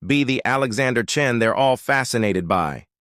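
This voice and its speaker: A man voicing a brisk, glamorous female art agent who gushes and pushes. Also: expressive, a bit bright, no echo, clean studio sound, lightly acted. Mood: gushing. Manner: pushy, gushing, raises pitch.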